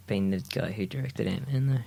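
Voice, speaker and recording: A man's voice: fairly low pitch, fairly quick, creaky, warm tone. A man co-hosting a film podcast, easygoing in casual chat, often restarting his sentences. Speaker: podcast co-host.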